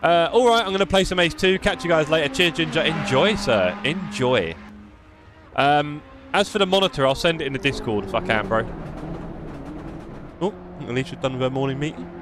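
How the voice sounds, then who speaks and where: A man's voice: on the high side, lively intonation, normal speed, music in the background. A man with a London accent chatting and joking with his live stream chat while playing a racing game. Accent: London English. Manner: chatty, jokey, reads chat aloud.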